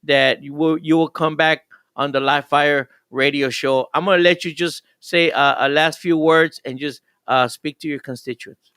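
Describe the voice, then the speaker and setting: A man hosting a radio talk show and interviewing a guest, speaking in a halting way with many restarts. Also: on the high side, steady tone, sharp tone, clear, resonant tone, dry recording, studio quality. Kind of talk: casual interview.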